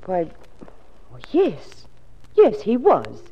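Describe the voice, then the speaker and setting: A woman's voice: medium pitch, wildly swooping pitch, drawn-out words, slightly rough, exaggerated voice. A woman playing a fussy, sympathetic boarding house landlady with an American accent, in 1940s radio drama style. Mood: flustered. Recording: muffled 1940s radio recording.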